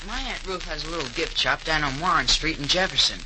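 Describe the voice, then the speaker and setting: A man's voice: a little high, a little fast, lightly acted. An actor playing an eager, earnest teenage boy in a 1930s radio drama. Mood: earnest narrating. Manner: earnest, wide-eyed. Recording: noisy 1930s radio recording.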